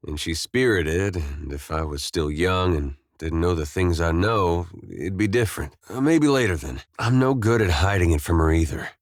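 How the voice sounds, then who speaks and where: An actor playing an easygoing, wry, war-weary farmer turned adventurer in a video game, talking in a folksy, understated way with short, plain phrases. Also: male voice, sits low, animated, normal speed, hardly any pauses, dry recording, studio quality. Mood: resigned, candid. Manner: folksy, laid-back, understated, drawling short clipped phrases. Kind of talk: video game companion dialogue.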